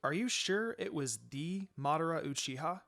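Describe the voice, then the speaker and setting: A man voicing a spirited young female ninja in a story, expressive and quick to tease or snap. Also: a little high, soft background music. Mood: weary, teasing.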